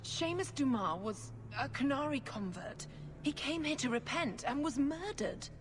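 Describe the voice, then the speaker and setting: A woman's voice: high voice, lively intonation, a little slow. A woman voicing a zealous middle-aged priestess who speaks with fervent, accusing conviction. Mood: defiant. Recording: game audio with music behind.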